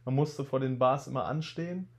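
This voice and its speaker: A young man in his twenties with a slight cold, telling stories in a relaxed, casual podcast chat. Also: mid-range voice, brisk, vocal fry. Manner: relaxed storytelling, laid-back slang. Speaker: podcast co-host.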